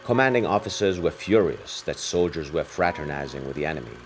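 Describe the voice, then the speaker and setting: A man's voice: rumbling low, animated, fairly quick, over music. A man reading scripted, dramatic documentary narration as a narrator.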